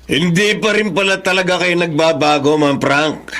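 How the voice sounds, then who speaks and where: A man's voice: on the high side, moderate pace, fairly bright tone, close to an everyday voice. A man playing a loyal, sincere younger brother and protective uncle in a Filipino radio drama. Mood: firm, reproachful.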